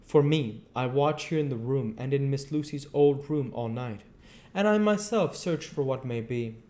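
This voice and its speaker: A man voicing an elderly foreign professor, speaking in earnest, halting phrases at a raised pitch. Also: warm tone, natural voice.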